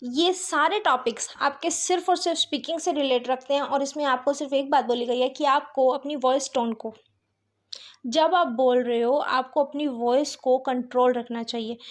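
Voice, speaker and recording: A woman with an Indian accent teaching a lesson, reading out points and explaining them with frequent little check-in phrases. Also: high voice. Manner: mixes Hindi with English terms, often checks understanding with tag phrases.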